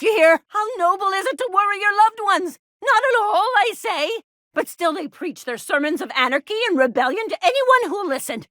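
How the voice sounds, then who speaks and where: Anxious smothering mom, high voice, melodramatic, doting and fussy, video game character, dry studio sound. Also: fairly bright tone, no room sound. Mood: indignant. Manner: fussy, anxious, melodramatic, cooing baby talk. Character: overprotective, fretful, doting small-town mother, dramatic worrier.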